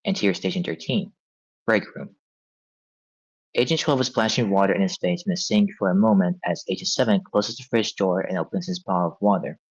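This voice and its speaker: A man's voice: low voice, a little fast, husky. A man reading scripted scene directions as narrator at a table read. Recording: dull, lo-fi sound.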